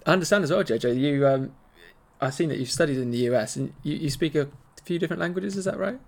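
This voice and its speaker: A man's voice: on the high side, fast, gravelly. A man hosting a business podcast, asking questions in a friendly way with plenty of fillers. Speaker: podcast host. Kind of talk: business interview.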